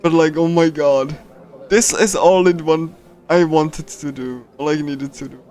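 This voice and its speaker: A man's voice: a little high, fairly even intonation, a little slow, a little mellow. A man streaming a visual novel with casual, rambling commentary, often repeating himself and exclaiming. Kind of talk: casual live commentary on a game.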